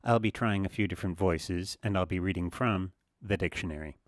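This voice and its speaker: A man's voice: low-pitched, fairly quick. A man narrating as a voice actor, in a plain scripted presentation.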